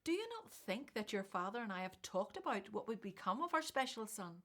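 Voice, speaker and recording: Elderly mother for biblical radio drama female actor: medium pitch, motherly, supportive, practical. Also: sing-song, fairly quick, fairly bright tone. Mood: tender, resolute. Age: older. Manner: motherly, tender. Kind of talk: radio Bible drama acting.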